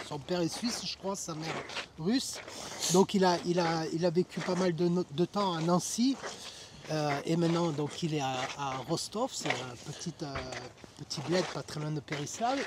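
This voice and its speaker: French male pastry chef, halting and casual, higher voice, heavy background noise. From France. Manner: hesitant, many fillers and restarts, explaining plainly. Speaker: pastry chef, cafe owner. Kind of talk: casual chat.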